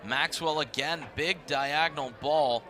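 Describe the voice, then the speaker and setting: Male sports play-by-play caller, fairly high pitch, over noisy stadium ambience. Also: a little slow, pushed and bright. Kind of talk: live sports commentary. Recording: noisy live stadium broadcast.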